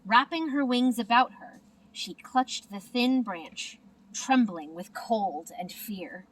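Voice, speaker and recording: A woman narrating a children's storybook in an expressive, deliberate manner. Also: fairly high pitch, far from the mic, poor audio. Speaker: storybook reader.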